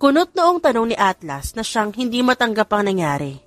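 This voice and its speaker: A woman's voice: a little high, very animated, normal speed, a bit bright, dry recording, faint music behind. A woman with a Filipino accent reads scripted story narration as an audiobook narrator.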